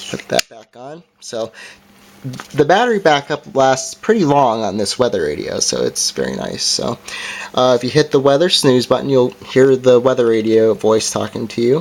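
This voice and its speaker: A man giving a casual, halting hands-on gadget demonstration in an unscripted way. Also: mid-range voice, very animated, creaky. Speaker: product reviewer. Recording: noisy amateur home recording, clicks.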